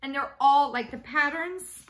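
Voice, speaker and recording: Late-30s woman, chatty at-home vlogger, bright and forward voice, lots of ums and restarts. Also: high-pitched, a little slow, rough recording. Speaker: cross-stitch vlogger. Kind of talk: casual chat to camera. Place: small room indoors at home.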